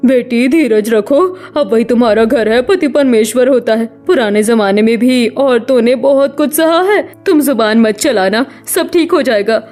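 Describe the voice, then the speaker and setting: A woman's voice: sits high, expressive, normal speed, dark tone. A woman voicing a traditional middle-aged mother in a family drama story, worried, soothing and accepting. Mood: consoling.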